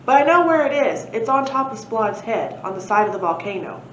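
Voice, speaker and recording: A woman voicing a brisk, slightly pompous male stegosaurus professor in a storybook read-aloud, recorded in a roomy space. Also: a little high, expressive, fairly bright tone. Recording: roomy, dull lo-fi, faint noise.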